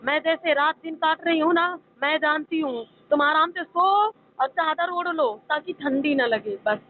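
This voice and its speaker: A woman's voice: high-pitched, moderate pace. A woman in an emotional private phone argument, insistent and pleading, often repeating herself. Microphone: phone call. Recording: narrow tinny phone line, faint noise.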